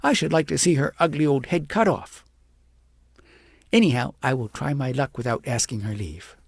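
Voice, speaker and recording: A man's voice: mid-range voice, normal speed, gravelly, husky, no echo, studio quality. A man voicing a vain, scheming, self-pitying young shepherd girl in a haughty, dramatic storybook manner. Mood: scheming, indignant. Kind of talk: acted audiobook storytelling.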